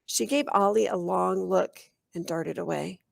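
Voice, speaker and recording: A woman reading a children's novel aloud as an expressive storyteller, in the manner of a teacher. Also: on the low side, measured pace, vocal fry, no room sound, clean studio sound. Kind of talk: children's audiobook narration.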